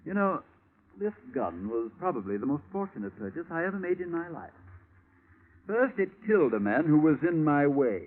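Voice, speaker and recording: A man's voice: fairly high pitch, big pitch swings, moderate pace, a little hiss or hum. A man acting a suave, smug, corrupt British police chief in a 1940s radio drama, mocking and elaborately polite. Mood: gloating, mocking. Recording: tinny, narrow-band vintage radio recording.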